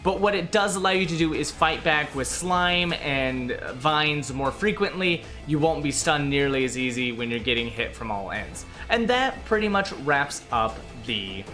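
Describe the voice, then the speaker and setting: A young man covering a game update in a casual way, hoarse and out of breath, with frequent filler sounds and restarts. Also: on the high side, expressive, moderate pace, few pauses, bright, forceful tone, soft background music. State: losing his voice, out of breath. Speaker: gaming video host.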